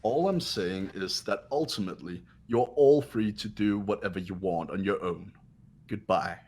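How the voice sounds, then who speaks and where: Man acting a haughty, deep-voiced rich young heir. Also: normal speed, darkish tone, soft background music. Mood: haughty, cold. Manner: haughty, condescending, formal. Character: arrogant, cold, wealthy young male elite heir. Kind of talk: amateur voiced video game playthrough.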